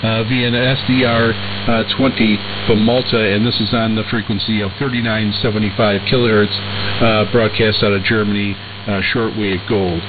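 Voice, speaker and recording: A man hosting a hobby radio show, reading listener mail in a halting way with very frequent filled pauses, heard over shortwave radio. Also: fairly low pitch, little pitch movement. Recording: hissy, humming narrow-band shortwave radio broadcast.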